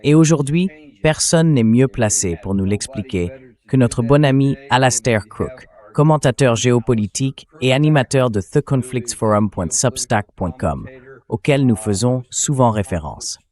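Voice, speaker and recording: A man reading a translated voice-over as the host of an interview show, in a measured, scripted-sounding way. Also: medium pitch, lively intonation, darkish tone.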